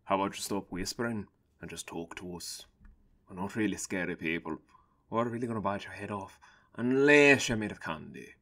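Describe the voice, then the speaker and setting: A man's voice: on the low side, normal speed, sharp tone. An actor playing a smug, blunt man who mocks the people he talks to. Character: smug, mocking man who sees souls. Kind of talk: fan audio drama acting.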